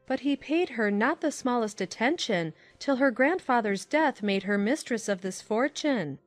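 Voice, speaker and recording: A woman voicing a sensible, concerned middle-aged aunt in a dramatized classic novel, gently admonishing in measured, formal phrases. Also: fairly high pitch, lively intonation, faint music behind, pitched above the performer's own voice, natural voice.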